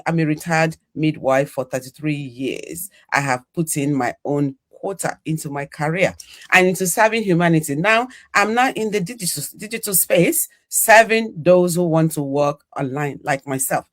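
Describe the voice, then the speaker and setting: A woman's voice: on the low side, animated, moderate pace. An older woman from Nigeria hosting a live online panel, chatty and full of "you know" fillers. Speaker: podcast host.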